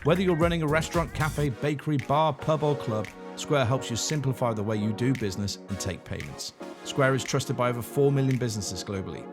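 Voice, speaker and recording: A man hosting a podcast, chatty and energetic, laughing often as he interviews a guest. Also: medium pitch, fairly quick, hardly any pauses, music in the background. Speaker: podcast host. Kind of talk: casual interview.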